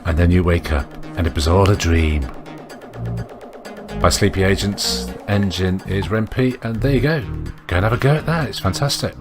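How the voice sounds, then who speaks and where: A man's voice: deep voice, moderate pace. A man giving casual let's-play commentary as a gaming host, in a laid-back way. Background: game music.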